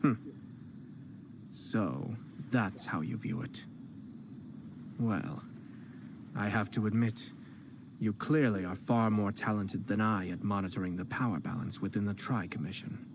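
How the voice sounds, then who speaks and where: A composed noble commissioner in a game, with a lowish, even voice that stays polite while every line cuts. The game audio carries a clear hiss of noise underneath. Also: male voice, a little slow, pauses often. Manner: calm, polite, coolly cutting. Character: composed noble male commissioner, cool and cutting. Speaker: game character. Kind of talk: game cutscene voice acting.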